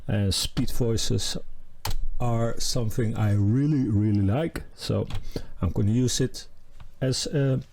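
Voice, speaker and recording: A man giving a casual, unscripted music software tutorial, pausing often and thinking aloud as he works. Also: medium pitch, vocal fry, slight background noise. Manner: halting, thinking aloud while working.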